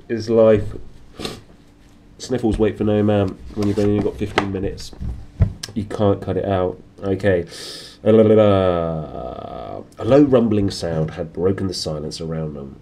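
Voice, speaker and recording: A man's voice: deep voice, warm tone, husky. A man with a sniffly nose reading a book expressively, with casual asides. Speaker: storyteller and reader.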